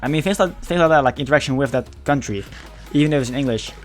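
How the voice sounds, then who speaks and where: A male streamer whose first language is Dutch chats casually in English with a lingering Dutch accent. His pitch sits in the middle of the male range. He talks haltingly, restarting phrases as he hunts for words, over faint background music. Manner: halting, searching for words, many repeated starts. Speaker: livestreamer. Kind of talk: casual livestream chat.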